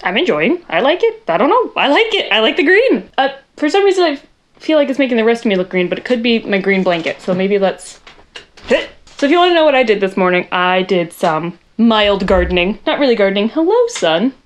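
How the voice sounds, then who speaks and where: A young woman with a loud voice, fairly high, chatting her way through a makeup tutorial in her bedroom. Her pitch jumps around a lot. She rambles and pokes fun at herself. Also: sing-song, fairly quick, slight background noise. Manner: chatty, rambling, self-deprecating, talks to viewers while working. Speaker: makeup vlogger.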